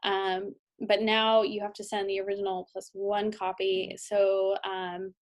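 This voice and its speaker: American woman giving a halting training talk. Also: mid-range voice, monotone. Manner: hesitant, halting, with frequent pauses and ums. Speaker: immigration lawyer giving training. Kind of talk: instructional slide presentation, semi-scripted.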